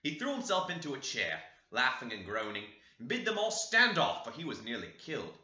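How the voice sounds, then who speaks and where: A man reading a classic novel aloud as scripted narration, in an expressive storybook way. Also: medium pitch, brisk. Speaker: audiobook reader. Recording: roomy, echoey, slightly dull sound.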